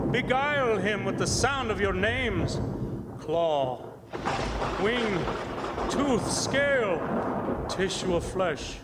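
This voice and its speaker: An actor playing an ancient, evil vampire count in a radio horror drama, commanding and seductive, with drawn-out incantatory delivery. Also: male voice, sits high, heavy background noise. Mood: urgent summoning. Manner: slow, incantatory, drawn-out words.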